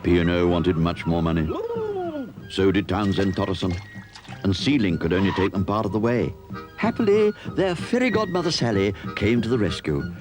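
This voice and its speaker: Low, swooping male storyteller over music. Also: animated, normal speed. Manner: theatrical fairy-tale telling. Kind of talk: storybook-style advert narration. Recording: music under the voice.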